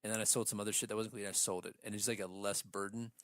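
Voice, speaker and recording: A man's voice: fairly low pitch, level tone, very fast, a bit bright. A man co-hosting a podcast, chatting casually and bluntly, with some swearing. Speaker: podcast co-host. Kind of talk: casual chat.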